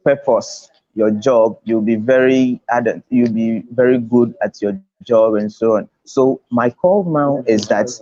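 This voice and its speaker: Casting: Ghanaian man, mellow voice and slow delivery, emphatic motivational conference speaker who repeats himself for weight. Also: mid-range voice. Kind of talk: motivational talk on a video conference. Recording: dull lo-fi online call audio.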